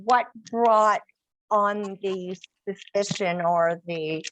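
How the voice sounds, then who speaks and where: An older woman hosting a conversational interview, speaking in a halting way with phrases that trail off. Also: mid-range voice, fairly bright tone, dull audio. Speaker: host interviewing guests.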